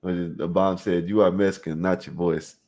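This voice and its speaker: A man with a very low, deep voice hosts a casual call-in stream. He reads the chat aloud and talks straight to viewers in loose slang, rambling and restarting his sentences. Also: fairly even intonation, moderate pace, warm tone. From Florida, US. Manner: loose street slang, frequent "you know what I mean" fillers. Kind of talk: casual chat reading out live chat.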